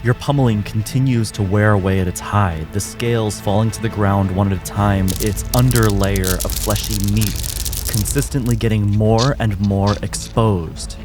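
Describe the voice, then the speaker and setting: A man acting as game master, narrating combat in a measured, descriptive way. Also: fairly low pitch, steady tone. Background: music.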